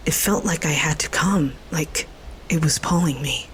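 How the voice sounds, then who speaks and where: A woman playing a gentle, earnest, frightened girl in a fantasy audio drama, speaking hesitantly and breathily. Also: low-pitched, normal speed, background noise, close to an everyday voice. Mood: awed, hesitant.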